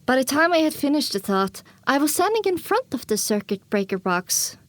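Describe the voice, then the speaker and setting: A woman narrating a first-person story as an audiobook, expressive and dramatic, on a close microphone. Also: high voice, moderate pace, no echo.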